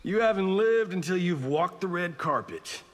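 A flippant Hollywood show-off in a fighting game, American and cocky. The voice sits fairly high with a husky edge and swoops expressively in a long reverb. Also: male voice, animated, moderate pace. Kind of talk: video game fight intro dialogue.

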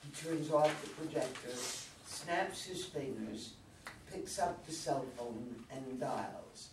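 A man's voice: mid-range voice, takes it slowly. An older man reading stage directions as scripted narration at a play reading. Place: meeting room with long table, indoors. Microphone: far camera. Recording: roomy, distant mic, background room noise.

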